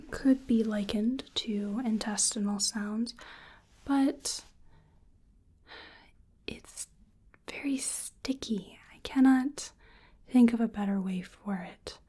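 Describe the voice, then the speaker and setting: A woman whispering softly and breathily in an ASMR roleplay as a polite, curious researcher doing a gentle exam. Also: on the high side. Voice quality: breathy whisper and soft speech. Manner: gentle, hesitant, polite, unhurried.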